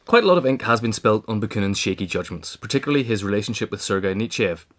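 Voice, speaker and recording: A man's voice: fairly low pitch, animated, fast talker. A man narrating a scripted political history essay in a formal, articulate read-aloud manner. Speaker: audiobook reader.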